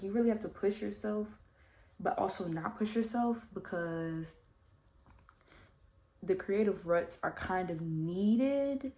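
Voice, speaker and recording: A woman around twenty, a little congested, chatting casually and rambling while doing her makeup for a vlog Q&A. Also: fairly low pitch. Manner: rambling, relaxed, heavy use of "like" and "you know what I mean". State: slightly congested, coming down with a cold. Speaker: vlogger. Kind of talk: casual get-ready-with-me Q&A chat.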